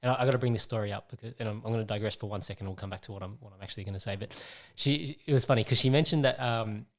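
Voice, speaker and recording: A man's voice: on the low side, racing. A man hosting a casual podcast interview, talking in a rambling way, repeating words and digressing. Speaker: podcast host.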